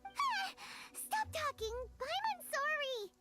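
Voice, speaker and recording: A squeaky, chatty little companion. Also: female voice, very animated, slow pace, over loud music, full character voice. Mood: flustered. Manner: talks about herself in the third person. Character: tiny floating female companion, chatty and childlike.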